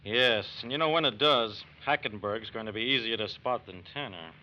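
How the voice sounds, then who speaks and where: An actor playing a brisk, businesslike American FBI agent in an old-time radio drama, speaking in a clipped official manner. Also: male voice, on the low side, pushed and bright. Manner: clipped, official, matter-of-fact. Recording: muffled, faintly noisy old radio recording.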